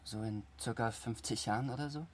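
A man's voice: mid-range voice, fairly quick, natural voice. A man playing a shy, lovestruck teenage boy on a road trip in a radio drama. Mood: shy, hopeful.

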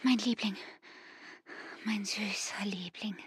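An actress playing a passionate young barmaid and urgent, tender lover in a radio drama, breathy and often whispering. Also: female voice, medium pitch, steady tone, takes it slowly, dry recording, clean studio sound. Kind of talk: intimate radio drama acting.

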